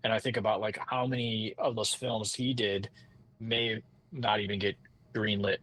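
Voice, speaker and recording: A man's voice: fairly low pitch, flat delivery, moderate pace, fairly bright tone, rough recording. A man chatting casually as an interview guest, informal and rambling, often circling back to restate his phrases. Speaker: composer, podcast guest. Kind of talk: casual conversational interview talk.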